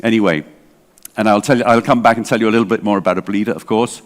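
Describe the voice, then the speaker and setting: A man's voice: lowish voice, steady tone, rapid-fire. Englishman in his sixties in a reverberant hall. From England. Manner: chatty, digressive, self-deprecating, many fillers. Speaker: library association director. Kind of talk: conference talk. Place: large hall.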